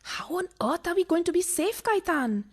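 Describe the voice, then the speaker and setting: High, swooping, scheming mistress played by a woman. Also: lively intonation, moderate pace. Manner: coaxing, theatrical. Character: scheming, theatrical female adulterous lover, easily thrilled. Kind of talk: farcical radio play acting.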